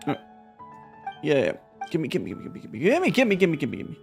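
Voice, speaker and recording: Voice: medium pitch, wildly swooping pitch, measured pace, vocal fry, faint music behind. A streamer talking casually while playing a card game, thinking aloud with many fillers and repeated words. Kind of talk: casual live gameplay commentary.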